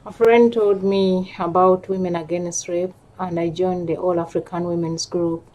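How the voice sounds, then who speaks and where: A woman's voice: on the low side, level tone, moderate pace, dark tone, muffled recording. A woman with a Ugandan accent giving personal testimony as a panel speaker. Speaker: panel speaker and campaign group member. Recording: clear background noise, ambient sound.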